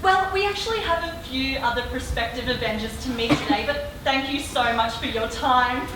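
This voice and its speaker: A woman's voice: sits high, moderate pace, natural voice. A woman playing a polite, professional interviewer and group leader in an amateur comedy stage play. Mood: awkwardly polite. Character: polite, brisk female interviewer and meeting leader. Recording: echoey hall from far off, very noisy.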